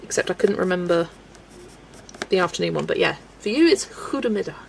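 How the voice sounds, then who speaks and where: A young woman hosting a colouring livestream, chatting casually with viewers, relaxed and laughing often as she works. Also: on the low side, brisk, creaky, a little hiss or hum, poor audio. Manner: relaxed and chatty, laughs often, talks to herself while working. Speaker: livestream host. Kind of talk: casual chat with a live chat while colouring.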